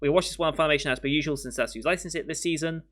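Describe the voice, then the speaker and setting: A man hosting an anime reaction channel, thinking aloud in casual commentary at home with his voice worn hoarse. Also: fairly high pitch, fairly even intonation, rapid-fire, fairly bright tone. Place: home room with windows. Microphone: studio mic in frame.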